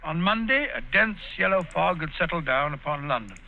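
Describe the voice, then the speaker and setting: A man's voice: fairly high pitch, animated, brisk, gravelly, narrow, tinny audio. An actor playing a genial, fussy older male doctor in a 1940s radio drama, with a bumbling, chuckling manner.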